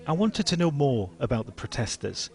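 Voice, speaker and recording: A man's voice: mid-range voice, sing-song, normal speed, gravelly. Man narrating a news report.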